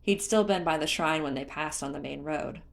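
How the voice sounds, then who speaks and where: Casting: female audiobook narrator, slightly low voice, reading scripted fantasy fiction. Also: moderate pace, vocal fry.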